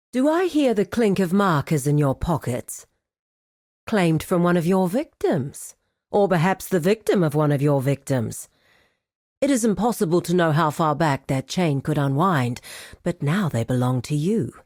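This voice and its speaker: A woman's voice: fairly low pitch, darkish tone, dry recording, clean studio sound. A woman voicing a composed, formal administrator of a criminal guild in a video game, speaking precisely and with cool courtesy. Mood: composed, inviting. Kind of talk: scripted video game character dialogue.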